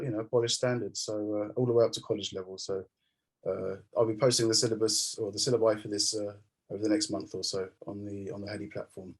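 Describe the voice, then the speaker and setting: A male writing teacher describes his course over a video call. His voice is low, flat and slightly husky. He speaks in a measured way, filling pauses with small hesitations. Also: low-quality recording. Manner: measured, filler-heavy. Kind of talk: online presentation of his course.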